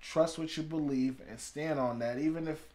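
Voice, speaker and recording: A man's voice: a little high, normal speed, a little mellow. A man from Haiti, a podcast guest talking in casual chat, reflective and musing, often trailing off and restarting.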